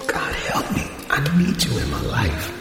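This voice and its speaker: A man's voice: mid-range voice, huge pitch swings, a little slow. An actor playing a torn young man's inner thoughts as he pleads with God. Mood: anguished. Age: young adult. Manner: anguished and pleading. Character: young man, Tony, torn and repentant. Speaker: inner monologue. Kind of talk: audio drama acting. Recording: echoey reverb with music behind.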